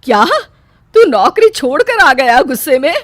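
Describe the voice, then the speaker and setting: A woman voicing a strict, scolding middle-aged mother-in-law in a cartoon story, speaking loudly and bossily. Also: high-pitched, big pitch swings, moderate pace, no echo, clean studio sound, pitched above the performer's own voice. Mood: worried. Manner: loud, scolding, bossy.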